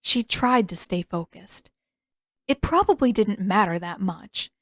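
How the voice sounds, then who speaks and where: A woman's voice: medium pitch, animated, unhurried, a bit bright, dry recording. A woman reading scripted fiction as the narrator of a story. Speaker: podfic narrator and author.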